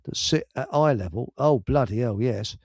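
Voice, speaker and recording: A man's voice: mid-range voice, lively intonation, moderate pace, a little mellow, dry recording, studio quality. A man hosting a casual, rambling live radio chat, blunt and grumbling with mild British swearing. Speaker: radio show host.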